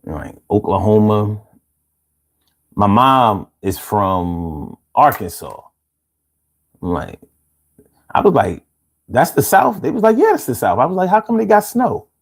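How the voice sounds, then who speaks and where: A man's voice: on the low side, sing-song, a little slow, vocal fry, long pauses, husky. A man hosting a casual live stream, reading out viewer comments with laid-back, chuckling talk, from the United States.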